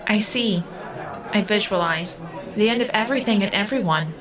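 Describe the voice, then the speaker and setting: A woman's voice: mid-range voice, normal speed, close to an everyday voice, low-quality recording. A woman acting in an audio drama as a solemn, literal-minded girl who speaks formally and precisely. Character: solemn, literal-minded all-seeing girl under eighteen. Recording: busy club crowd and music behind.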